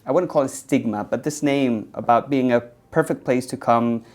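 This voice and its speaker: Male CEO guest raised in Spain, casual rambling interview answers, average pitch. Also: moderate pace. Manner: relaxed storytelling with frequent ums and uhs. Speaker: CEO of a tech hub. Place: indoors in an office building.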